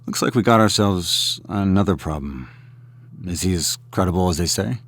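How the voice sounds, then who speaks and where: Male official, deep husky voice, wary, brooding, expressive pitch, dry close sound. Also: moderate pace, no room sound, exaggerated voice. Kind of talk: audio drama dialogue.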